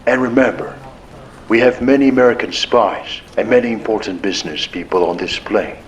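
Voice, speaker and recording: A man's voice: on the low side, a little fast, a little hiss or hum, lightly acted, rough recording. A man voicing a cold, menacing hijacker in an audiobook, speaking in a curt, threatening way. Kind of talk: audiobook character dialogue.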